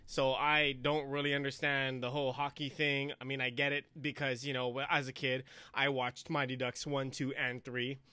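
A chatty male podcast host with a bright, forceful voice, talking easily with a guest. The sound is close and dry, with no room around it. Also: mid-range voice, level tone, moderate pace, few pauses, clean tone, no echo. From Hawaii. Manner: friendly, laughing, chatty. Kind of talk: casual interview chat. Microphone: headset.

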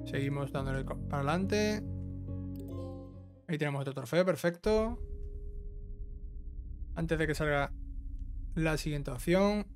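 A man's voice: on the high side, a little slow, pauses often. A man giving a casual, instructional walkthrough of a video game, often adding short check-in tags. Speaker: gaming YouTuber giving a trophy guide. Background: background music.

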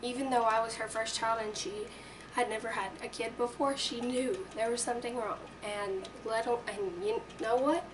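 Raspy teen girl on a distant webcam. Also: a little high. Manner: earnest, chatty, many ums. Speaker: vlogger. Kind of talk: casual chat to camera. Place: small bedroom indoors. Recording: distant webcam audio with noise.

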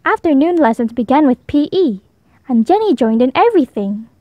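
A woman's voice: high voice, sing-song, normal speed, no room sound, dull audio. A woman narrating a children's story as a read-aloud storybook reader.

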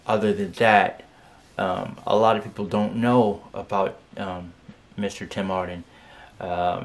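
A man in his thirties talking casually as a music reviewer, often pausing mid-sentence, recorded in a bedroom on a camera at arm's length. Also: on the low side. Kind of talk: casual reaction video talk. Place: small furnished bedroom. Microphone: camera at about arm's length.

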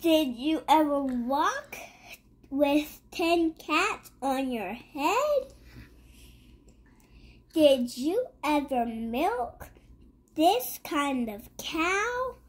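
A young child with a high voice reads a rhyming picture book aloud. The pace is extremely slow and halting, with words sounded out and often repeated. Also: sing-song. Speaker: young reader.

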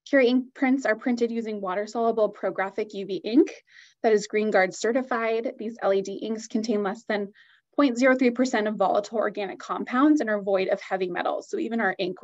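A woman's voice: medium pitch, dull audio. A woman giving an upbeat, enthusiastic product presentation on a video call.

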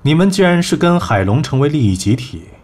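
A man playing a cool, assured agency executive in an audio drama, measured and persuasive. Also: a little high, sing-song, a little mellow. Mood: frustrated. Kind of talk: Chinese audio drama acting.

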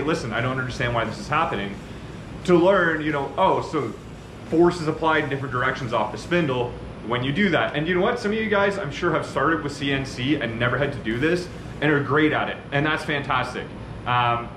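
A man in his thirties talks conversationally to camera in a large machine shop. His voice sits at an everyday middle pitch, and the room gives it a roomy echo over a faint hum of shop noise. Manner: conversational, gestures a lot while explaining. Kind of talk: casual talk to camera. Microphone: camera at a few metres.

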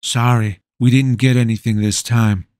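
A man voicing a teenage boy who is a smooth, breezy liar. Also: mid-range voice, steady tone, normal speed, darkish tone, dry recording, studio quality, close to an everyday voice. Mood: casual.

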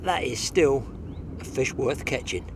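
A man's voice: mid-range voice, expressive, a little slow, heavy background noise. A man, hosting a fishing show outdoors at a lakeside and chatting instructively to viewers. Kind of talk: casual outdoor fishing commentary.

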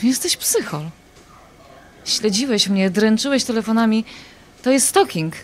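A woman plays an indignant, desperate married woman in an audio drama. Her fairly high voice rises and falls sharply as she defends herself against an ex-lover in exasperation. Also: animated, a little slow. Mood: exasperated, indignant. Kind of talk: audio drama acting, dialogue.

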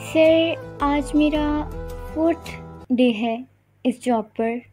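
Actress as a timid young office newcomer in an audio drama, slow, high, mellow. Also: female voice, soft background music, low-quality recording. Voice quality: hesitant, halting. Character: shy young woman, new employee, timid. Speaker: new office employee.

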